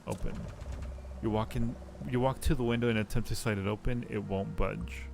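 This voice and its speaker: A man's voice: on the low side, fairly even intonation, normal speed, creaky, background noise. A man live streaming a game, reading its text aloud and chatting casually with viewers as he muses. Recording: music playing behind the voice. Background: music.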